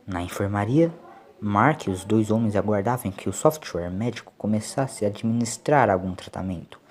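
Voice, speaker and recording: A man's voice: lowish voice, lively intonation, normal speed, a little mellow. A man reads a dramatic scripted story as an audiobook narrator. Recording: clear background noise, ambient sound.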